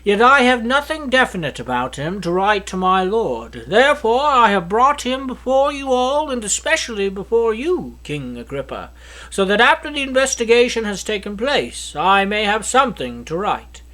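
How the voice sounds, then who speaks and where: A man in his thirties reading scripture aloud in a solemn, declamatory way with deliberate phrasing. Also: high voice, big pitch swings, sharp tone, low-quality recording. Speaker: Bible reader. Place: small indoor study with bookshelf. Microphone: microphone on a stand at a lectern.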